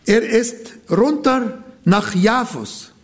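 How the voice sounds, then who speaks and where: Arabic accent guy preaching, high voice, hunts for words sometimes. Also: drawn-out words. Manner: earnest, searching for words, addresses congregation. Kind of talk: sermon.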